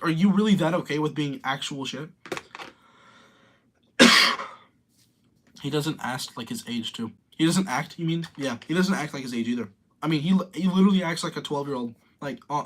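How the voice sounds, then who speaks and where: A young man in his late teens, casually rambling through commentary and reading viewer comments aloud. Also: fairly high pitch. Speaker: streamer reading chat.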